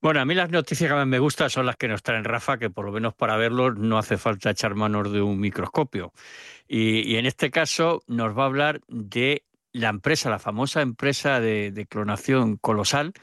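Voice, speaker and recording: A man with a mid-pitched voice hosts an informal science chat. He hands over to his panelists conversationally, and the sound is dry with very little room in it. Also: normal speed, a bit bright, dry recording. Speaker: host introducing panelists.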